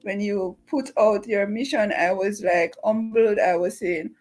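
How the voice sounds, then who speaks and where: A woman speaks at an average pitch as the host of a livestream. She welcomes a guest and gives announcements to viewers. Faint music plays behind her. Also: measured pace, darkish tone.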